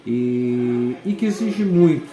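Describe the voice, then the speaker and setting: A man, a school principal answering interview questions and often pausing to search for words. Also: medium pitch, lively intonation, dark tone. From southern Brazil. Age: 40s. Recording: echoey room.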